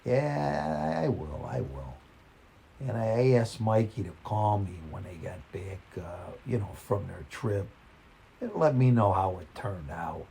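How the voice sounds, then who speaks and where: Guy telling a folksy story, really slow and halting, dark mellow voice. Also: fairly low pitch, animated, creaky, slight background noise. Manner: halting, conversational, folksy delivery. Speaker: storyteller reading own short story. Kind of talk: folksy first-person story reading.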